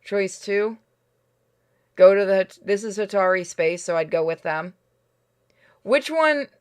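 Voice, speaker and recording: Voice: mid-range voice, a little slow, smooth. A streamer giving casual gameplay commentary, blunt and sweary, deliberating aloud over choices. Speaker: streamer playing a game.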